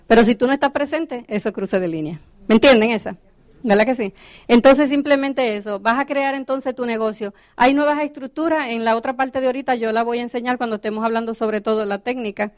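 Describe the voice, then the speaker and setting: A woman's voice: a little high, no echo. A woman giving a motivational business training talk, often checking in with her audience. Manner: coaching, asks the audience questions.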